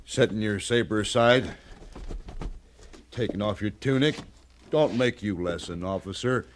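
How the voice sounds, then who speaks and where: An American actor playing a scared, defiant, bad-tempered cavalry soldier in a 1950s western radio drama, blustering and stammering in rustic speech. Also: male voice, fairly low pitch, a little slow, natural voice. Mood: frightened defiance. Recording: slightly noisy vintage radio recording.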